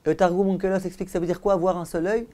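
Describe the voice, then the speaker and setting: Man, rabbi, Torah lecture, didactic, emphatic stresses, storytelling delivery, rhetorical questions, deliberate phrasing. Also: high-pitched, level tone, mellow. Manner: rhetorical questions, emphatic repetition, acts out dialogue. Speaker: rabbi giving a Torah class.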